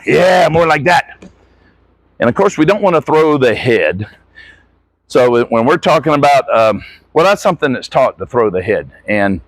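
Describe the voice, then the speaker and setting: A man's voice: mid-range voice, dry recording. A man coaching gymnastics, giving hands-on instruction with short encouraging corrections as he demonstrates. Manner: encouraging, corrective asides to athlete.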